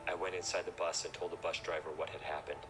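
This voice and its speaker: A man's voice: deep voice, flat delivery, quick pace, loud music behind the voice. A man reading a scripted first-person horror story as narrator. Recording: noisy recording.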